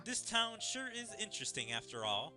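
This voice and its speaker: Guy doing an earnest young guy from another world, polite, lively pitch, music behind. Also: moderate pace.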